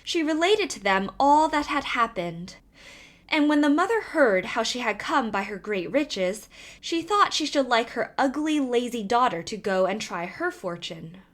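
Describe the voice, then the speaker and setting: A woman's voice: fairly high pitch, big pitch swings, a little slow, a bit bright. A woman reading a fairy tale aloud as scripted narration. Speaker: storyteller.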